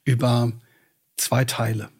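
A raspy-edged man from the Ruhr, the host you'd hear on a relaxed interview podcast, meandering his way towards each question. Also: mid-range voice, takes it slowly. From Ruhr area, Germany. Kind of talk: casual conversational interviewing.

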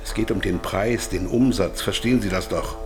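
An actor playing a captive drug company executive, hesitant, formal and defensive, in a German audio drama. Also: male voice, deep voice, moderate pace, over music, close to an everyday voice. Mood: self-justifying. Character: captive male pharmaceutical executive, evasive, anxious, self-justifying.

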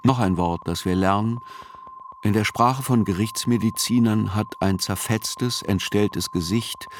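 A man reads a scripted literary radio narration in a measured, sober way. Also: fairly low pitch, a little hiss or hum.

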